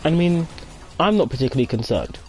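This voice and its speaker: A man's voice: fairly high pitch, sing-song, quick pace, darkish tone, faint music behind. A man giving casual, rambling commentary as he plays a game, wandering off into chatty asides and reading the game's dialogue aloud. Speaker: let's play host.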